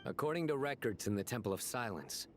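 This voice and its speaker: A man's voice: medium pitch, animated, fast talker, a little hiss or hum, close to an everyday voice. A man playing a formal, scholarly guardian in a video game, terse in manner. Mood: grave, formal.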